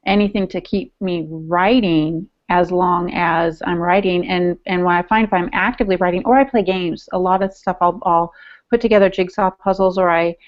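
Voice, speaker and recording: A woman's voice: fairly low pitch, fairly even intonation, moderate pace. A middle-aged American woman chatting casually as a podcast co-host over a video call. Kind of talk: casual chat. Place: home room. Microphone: earphone headset on video call. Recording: dull, lo-fi video call audio.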